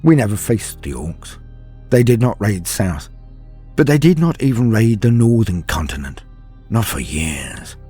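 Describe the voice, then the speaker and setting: A man's voice: fairly low pitch, very animated, measured pace, dark tone, breathy edge, soft background music, exaggerated voice. A man playing a haunted, fearful, weary soldier, telling a scripted war horror story with grave, theatrical delivery.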